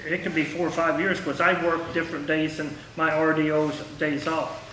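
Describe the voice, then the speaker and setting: A middle-aged or older American man with a Midwestern accent, reminiscing informally to a local audience in a rambling, halting way. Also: on the high side, flat delivery, bright, forceful tone. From small-town Indiana, United States. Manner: rambling, halting, jumps between thoughts. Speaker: retired air traffic controller giving a talk. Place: echoey meeting room at a historical society. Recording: roomy, distant mic with faint noise.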